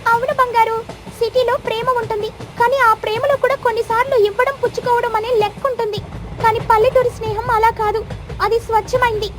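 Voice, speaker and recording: A cartoon mother parrot, voiced by a woman speaks high and bright. She talks quickly and fondly. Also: fairly even intonation, noisy background. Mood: loving. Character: warm mother parrot from the city.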